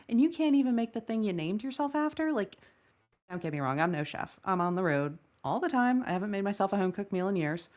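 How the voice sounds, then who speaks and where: A woman's voice: medium pitch, lightly acted. A woman playing a wry, weary woman who gripes sardonically and swears freely in a conversational audio drama table read. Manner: sardonic, swearing, exaggerated griping. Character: Lucretia Evans, wry, griping, weary woman football-game player.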